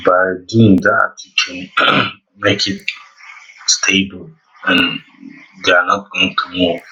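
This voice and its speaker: A man narrating a casual software tutorial in a halting, hesitant way, pausing mid-sentence. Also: fairly low pitch, sing-song, vocal fry, a little hiss or hum. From Rwanda. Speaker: tutorial presenter. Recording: slightly distant microphone.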